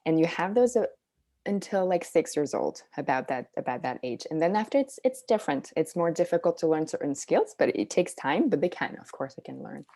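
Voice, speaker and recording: Very low, mellow woman explaining casually. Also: very animated, a little fast. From Switzerland. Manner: informal, hedging with "like" and "you know". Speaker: interview guest, Montessori parenting coach. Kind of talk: casual explanatory interview talk.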